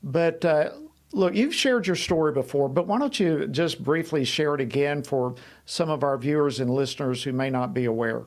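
A man hosting a news interview, brisk and prompting, moving the talk along. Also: on the high side.

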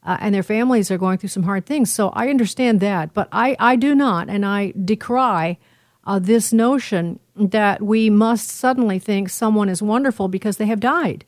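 Female talk radio host, mid-range, unscripted and halting, deliberate, dry studio sound. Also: medium pitch, no room sound.

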